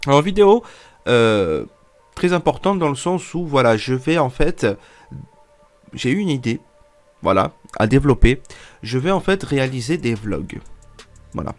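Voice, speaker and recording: A man's voice: mid-range voice, sing-song, normal speed, pauses often, soft background music. A man in his twenties, stuffy from a cold, talking casually to his viewers in a rambling way full of fillers and restarts. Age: 20s. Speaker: YouTuber. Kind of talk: casual vlog talk to viewers.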